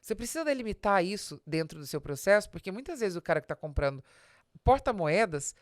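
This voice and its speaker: A woman's voice: medium pitch, big pitch swings, brisk, no room sound, clean studio sound. A woman with a Brazilian accent giving an explanatory business talk as a podcast host, speaking straight to the listener in a didactic way with emphatic stresses.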